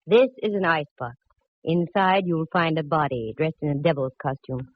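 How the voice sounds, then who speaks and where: An actress playing a sharp-witted, wisecracking female private detective in a vintage radio drama, wry and no-nonsense. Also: low-pitched, normal speed. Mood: brisk, insistent. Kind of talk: 1940s-50s radio detective drama acting and narration. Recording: muffled, noisy vintage radio recording.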